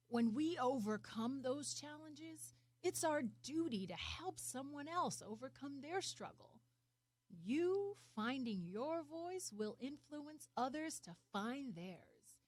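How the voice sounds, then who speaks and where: A woman as a slow-speaking, gentle wise old man. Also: sits high, sing-song, dry recording, voice pushed up, heavily acted. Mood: humble, wise. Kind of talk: storybook character reading.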